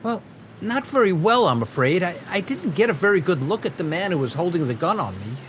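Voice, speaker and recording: An actor playing a shaken factory owner in a 1940s-style radio drama, talking in a hesitant, stammering way. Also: male voice, high voice, big pitch swings, brisk, slight background noise. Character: shaken middle-aged male factory owner robbed of payroll.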